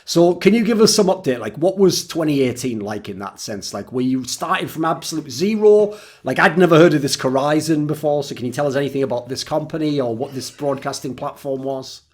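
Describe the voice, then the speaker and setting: A man's voice: medium pitch, darkish tone. A man hosting a casual interview, asking long, winding questions full of likes and restarts. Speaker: host.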